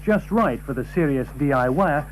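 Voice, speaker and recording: A man's voice: a little high, expressive, fairly quick. A man narrating a scripted television news feature as a reporter. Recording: noisy old TV broadcast, faint hum.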